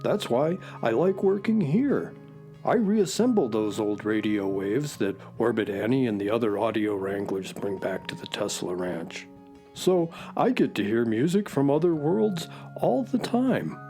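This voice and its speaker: An actor playing a bookish, deadpan space horse who narrates and talks in a children's radio drama, dry and slightly fussy. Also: medium pitch, unhurried, faint music behind. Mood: dry, matter-of-fact.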